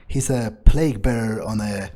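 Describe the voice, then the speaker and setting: A man's voice: on the low side, normal speed, warm tone, natural voice. A man playing a cocky, crude vampire who relishes violence, with a hesitant, swearing drawl, in a roleplayed video game. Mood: blunt, scornful.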